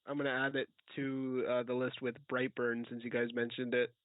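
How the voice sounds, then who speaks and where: A man co-hosting a casual film chat, rambling and halting as he repeats his words. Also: mid-range voice, level tone, dry recording. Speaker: podcast co-host. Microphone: remote online call. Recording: phone-like, dry, lagging call audio.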